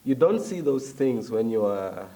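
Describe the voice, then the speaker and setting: A young man from Zambia, talking as a guest in a casual interview, reflective and hesitant with frequent pauses. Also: medium pitch, big pitch swings, dark tone, recorded from a distance, low-quality recording. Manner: reflective, hesitant with many ums.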